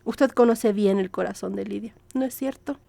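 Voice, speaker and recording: A woman voicing an aging, sickly mother who flatters and pleads in an audiobook drama, with ingratiating, dramatic exclamations. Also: medium pitch, normal speed, gravelly, no echo. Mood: coaxing. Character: aging, ailing, scheming mother, fawning and wheedling.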